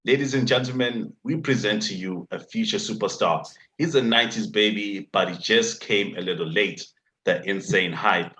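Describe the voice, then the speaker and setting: A man's voice: lowish voice, normal speed, pushed and bright, poor audio. A man hosting a podcast in an upbeat way, introducing a guest and often repeating himself. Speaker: podcast host.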